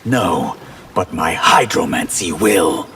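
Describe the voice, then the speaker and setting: An actor playing a proud, disillusioned water mage in a video game, grave and measured. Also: male voice, low-pitched, expressive, heavy background noise, heavily acted. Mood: grave resolve. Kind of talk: video game character dialogue.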